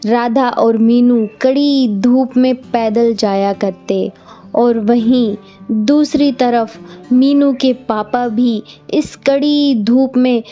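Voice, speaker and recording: A woman's voice: on the high side, takes it slowly, dark tone. A woman narrating a scripted children's story, with music behind her and a dull recording.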